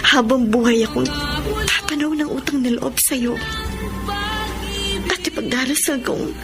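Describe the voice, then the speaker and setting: Earnest young Filipino bride-to-be over loud music. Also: female voice, high voice, lively intonation, a little slow. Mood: tearfully grateful. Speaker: radio drama actress. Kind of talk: Filipino radio drama acting. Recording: music bed under the voice. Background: loud sentimental music.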